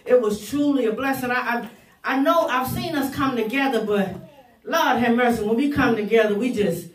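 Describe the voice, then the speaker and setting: A woman minister giving an exhortation, loud and lively, laughing often and breaking into gospel song. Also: on the high side, moderate pace. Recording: roomy sound from a distance, congregation behind.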